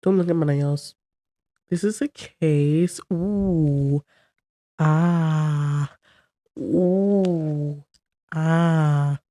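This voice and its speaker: A young woman chats loosely and rambles on her first podcast episode, her voice stuffy and congested from a cold. She talks slowly in a mellow, low-key tone. The recording is dry and close. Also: no room sound. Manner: chatty and rambling, talking to camera. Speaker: podcast host. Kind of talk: casual solo chat.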